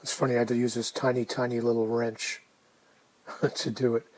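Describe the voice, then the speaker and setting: A man casually narrating a hands-on restoration project, thinking aloud in an informal, unhurried way. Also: mid-range voice, level tone, a little mellow, poor audio.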